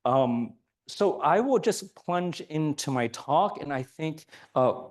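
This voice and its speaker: Hoarse soft-spoken male lecturer. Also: on the high side, animated, slow pace. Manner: soft-spoken, many fillers. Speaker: guest lecturer. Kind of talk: academic lecture.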